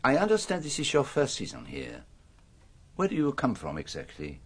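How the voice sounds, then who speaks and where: An actor playing a shrewd, courteous detective, formal and deliberate in a radio drama. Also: male voice, medium pitch, huge pitch swings, slightly rough, heavily acted. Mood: probing, firm. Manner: formal, deliberate, inverted foreign phrasing. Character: shrewd, courteous foreign detective, probing and commanding man.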